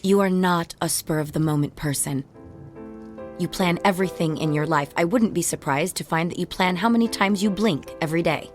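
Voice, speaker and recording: Actress playing a sardonic low-voiced ex-wife, music behind. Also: female voice, brisk, natural voice. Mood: wary, sarcastic. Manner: biting, sardonic retorts. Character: sharp-tongued, wounded ex-wife, sarcastic and guarded. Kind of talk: radio drama acting.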